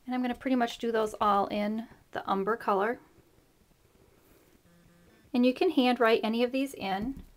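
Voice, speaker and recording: Chatty woman with a slightly rough voice. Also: on the high side, steady tone, moderate pace, pauses often. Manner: chatty, friendly explaining with fillers. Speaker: craft tutorial host. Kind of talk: casual stamping tutorial. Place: craft table indoors.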